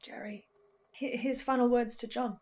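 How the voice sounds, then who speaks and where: A woman giving a casual, animated recap and reaction, often interrupting herself with asides. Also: a little high, normal speed, a little hiss or hum. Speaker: host of a podcast reaction show.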